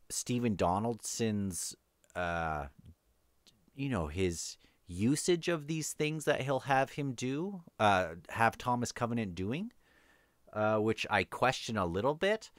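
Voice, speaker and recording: A man's voice: on the low side, no echo, clean studio sound. A man hosting an unscripted read-along book discussion, thinking aloud in a hesitant, rambling way.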